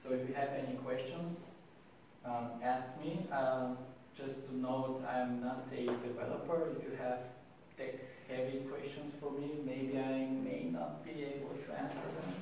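A young man giving a meetup talk to a small audience, hesitant with many pauses and restarts, recorded by a distant camera in a small room. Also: mid-range voice, flat delivery, mellow, a little hiss or hum. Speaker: meetup speaker. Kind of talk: presentation and Q&A. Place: small room with wall art and screen. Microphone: far camera, no mic. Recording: echoey room from far off.

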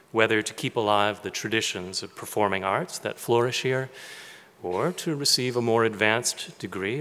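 A man's voice: lowish voice, a bit bright. Middle-aged man speaking in a reverberant hall. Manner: measured and earnest, with light humor. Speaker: dean giving a commencement address. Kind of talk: formal ceremonial speech. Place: large auditorium stage at a lectern. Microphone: lectern microphone.

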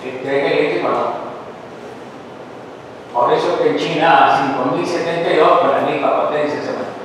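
A man's voice: fairly high pitch, steady tone, a little hiss or hum. Older man lecturing in echoey hall. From Peru. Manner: measured, lists points one by one with rising emphasis. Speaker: conference speaker. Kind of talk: lecture presentation. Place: wood-panelled auditorium with seated audience. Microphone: far camera, room sound. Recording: echoey hall recorded from far off.

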